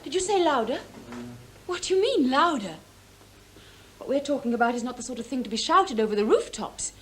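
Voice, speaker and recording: A woman's voice: sits high, big pitch swings, fairly quick, slight background noise. An actress playing an impetuous, scornful, defiant young woman in a radio drama, with intense, declamatory delivery. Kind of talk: theatrical radio drama acting.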